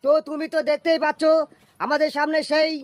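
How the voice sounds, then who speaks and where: A man's voice: extremely high pitch, flat delivery, fast talker, very noisy. A man voicing a cheeky, jittery, irreverent field reporter in a comedy cartoon news spoof. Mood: nervous.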